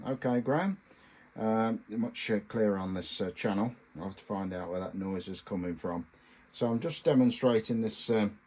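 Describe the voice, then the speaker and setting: A man giving a casual hands-on demonstration as a radio hobbyist host, speaking in a halting, hesitant way with pauses. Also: fairly low pitch, mellow.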